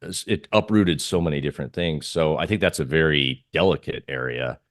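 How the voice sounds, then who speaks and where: Male interview guest, extremely low voice, conversational and halting, dry recording. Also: no echo. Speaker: trader guest being interviewed. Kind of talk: casual interview talk on markets.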